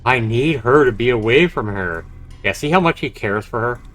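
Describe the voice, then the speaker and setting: A man's voice: medium pitch, expressive, normal speed, fairly bright tone. A man livestreaming a visual novel, reading the text aloud dramatically and reacting expressively as he comments. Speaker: game streamer. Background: game music.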